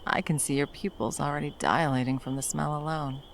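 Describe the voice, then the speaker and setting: A woman playing a battle-worn monster hunter, gruff but tenderly protective, in an intimate ASMR roleplay with soft teasing and drops into whispers. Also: deep voice, steady tone, fairly quick, a little hiss or hum. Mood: caring, relaxed.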